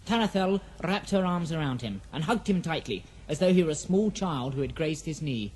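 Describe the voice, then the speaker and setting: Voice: mid-range voice, expressive, normal speed, darkish tone. A narrator reading a scripted fantasy audio drama in a poetic, dramatic storybook manner.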